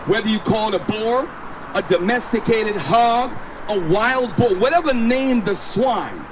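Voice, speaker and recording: A male street preacher with a high-pitched voice teaches scripture outdoors. He talks forcefully and insistently, repeating himself and directing the people around him. The recording is noisy, with the street clearly audible behind him. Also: measured pace. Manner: forceful, insistent, repeats himself, tells the reader when to read. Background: street noise.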